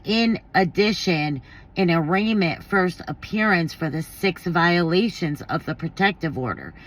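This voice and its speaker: A woman's voice: fairly low pitch, a little slow, poor audio. A woman giving casual opinion commentary, thinking aloud in a blunt, informal, slangy way. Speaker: commentary vlogger.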